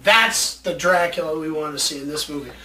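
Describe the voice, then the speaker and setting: A man's voice: a little high, a little fast, pushed and bright. A man hosting a casual chat show about movies, rambling along with enthusiasm. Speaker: show host. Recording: distant mic, faint background noise.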